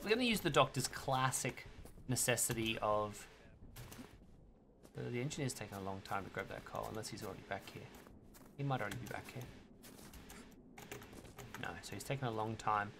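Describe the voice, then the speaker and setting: A man's voice: lowish voice, moderate pace, creaky, long pauses, background noise. A man in his twenties streaming a game, thinking aloud and reasoning under his breath about suspects. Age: 20s. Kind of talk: casual gameplay commentary.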